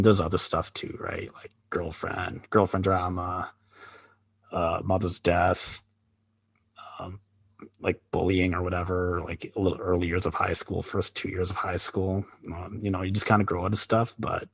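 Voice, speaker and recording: A man co-hosting a podcast, talking candidly in a halting, reflective way with many pauses and restarts. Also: sits low, vocal fry, husky, dry recording. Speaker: podcast co-host. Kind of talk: candid conversational chat.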